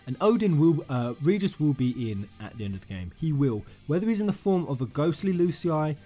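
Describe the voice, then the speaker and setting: A man hosting a fan theory video, rambling and emphatic, addressing his viewers directly in an excited commentary style. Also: on the high side, a little fast. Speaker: gaming YouTuber, host. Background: music under the voice.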